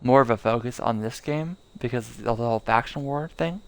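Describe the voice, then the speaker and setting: A man's voice: mid-range voice, a little fast. A young man streaming a game live, rambling casually with lots of fillers and restarts. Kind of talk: casual live gameplay commentary.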